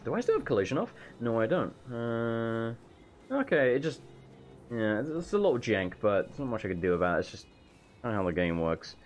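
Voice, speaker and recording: A man with a hoarse voice, losing it from being sick, rambling casually as he shows off his game mod. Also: mid-range voice, very animated, a little fast. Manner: rambling, self-correcting, casual swearing. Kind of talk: casual gameplay walkthrough. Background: faint game music.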